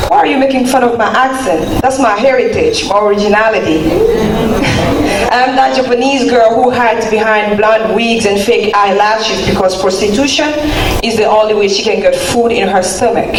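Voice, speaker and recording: An outgoing pageant queen, a woman, addressing an audience and then reciting a poem. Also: medium pitch. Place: large hall at an event. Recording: echoey hall, distant mic, noisy.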